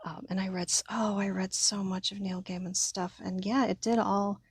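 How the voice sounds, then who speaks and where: Creaky-voiced woman in casual, halting chat. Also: medium pitch, level tone, no room sound, studio quality. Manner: informal, halting, peppered with "like". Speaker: podcast co-host.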